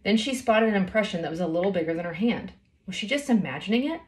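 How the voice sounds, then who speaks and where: A woman's voice: mid-range voice, expressive, fast. A woman in her thirties reading a children's novel aloud as a storyteller, recorded indoors at home. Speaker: storybook reader. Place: small indoor room at home.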